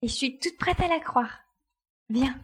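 A resolute, impatient woman pilot played by an actress in an adventure audio drama. The voice is fairly high and brisk, with noticeable noise behind it. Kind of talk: French audio drama adapted from a youth novel.

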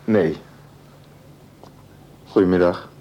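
A man's voice: deep voice, fairly even intonation, drawn-out words, dull audio, slight background noise. A man acting in a radio play as a dry, indifferent pharmacist with a laconic manner.

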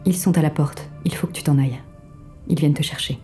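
An actress playing a mysterious, seductive virtual woman, a poised and self-assured guide with measured delivery in an audio drama. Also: deep voice, warm tone, soft background music, natural voice. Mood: urgent. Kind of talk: scripted audio drama, literary narration and dialogue.

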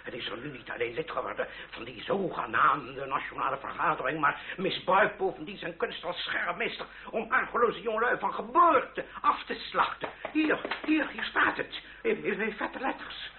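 Actor as gravelly, blustering old noble uncle. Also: male voice, fairly high pitch, normal speed, hardly any pauses, lightly acted. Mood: outraged. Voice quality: creaky, gravelly. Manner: spluttering, stammering, theatrical outbursts. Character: blustering, excitable older noble uncle, soft-hearted underneath. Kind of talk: old radio play acting. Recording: tinny, noisy old radio recording.

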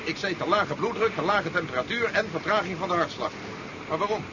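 Fast-talking, stubborn general, noisy old recording. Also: male voice, high-pitched, expressive. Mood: urgent, suspicious. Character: suspicious, stubborn, self-assured male army general. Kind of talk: 1970s Dutch science fiction radio play acting. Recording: dull, noisy 1970s radio drama recording.

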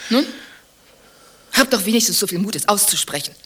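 Proud, scornful husky-voiced lover. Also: female voice, medium pitch, expressive, fairly quick, fairly bright tone. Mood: cool, defiant. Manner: cutting, theatrical, controlled. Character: proud, scornful, cool married woman in an affair. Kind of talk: dialogue acting in a radio play.

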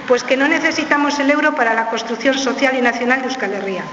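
A woman's voice: high voice, quick pace, a crowd in the background. A woman arguing her points steadily on a debate panel. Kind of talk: round-table debate. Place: theatre school hall. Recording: distant mic, echoey hall, dull.

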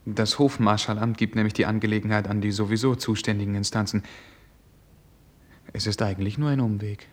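Actor as a low, husky, stiffly courteous prince. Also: male voice, little pitch movement, a little fast, darkish tone, lightly acted. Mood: earnest explaining. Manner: formal, hesitant, earnest. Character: stiff, courteous, naive young prince, easily flustered. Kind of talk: German radio play acting.

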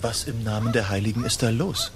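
An actor playing a concerned, loyal gentleman in a radio play, speaking in an insistent, questioning way. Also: medium pitch, animated, brisk, background noise, close to an everyday voice. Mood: puzzled, insistent.

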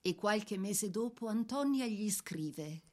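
A woman with a mid-pitched voice narrates a literary life story for radio. Her delivery is scripted and measured, shaped like careful written prose. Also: animated, a little mellow.

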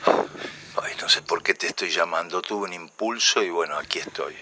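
Bereaved, wistful Argentine man, very low sharp voice, sighing and whispery, radio play. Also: moderate pace, exaggerated voice. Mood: grieving. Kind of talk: radio drama acting.

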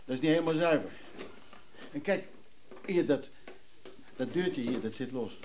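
A man's voice: medium pitch, lively intonation, moderate pace, darkish tone, a little hiss or hum, lightly acted. An actor playing an eager, wheedling errand man in a Dutch radio drama, hesitant and coaxing.

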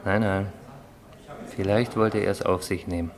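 A good-natured, reflective workmate in a radio crime play. His voice is on the low side and he talks in a rambling, anecdotal way. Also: steady tone, normal speed. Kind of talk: German radio crime drama acting.

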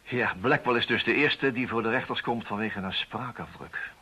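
An actor playing a brisk, shrewd, insistent detective with a husky voice in a radio play. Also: male voice, medium pitch, sharp tone. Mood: explaining.

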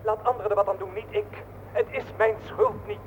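An actor playing the frightened, lonely ghost of a young soldier, plaintive and strained in a radio drama. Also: male voice, high voice, flat delivery, brisk, slight background noise, natural voice. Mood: afraid, pleading. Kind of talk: heightened radio drama acting. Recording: distant reverberant hall, tinny old radio.

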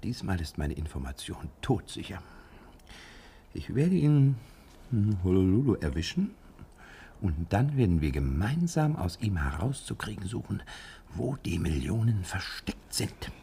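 A mellow, creaky male voice with hugely swinging intonation, playing a self-assured, evasive detective in a 1960s radio drama. He is suave and courteous, on an old recording with faint noise. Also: sits low, sing-song, measured pace, full character voice. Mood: confident. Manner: suave, hemming with little hms.

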